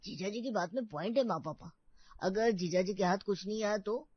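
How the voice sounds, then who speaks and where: Woman plays young brother in a cartoon tale, earnest, indignant, mid-pitched, dry dulled sound. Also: normal speed, husky, no echo, highs cut off, lightly acted.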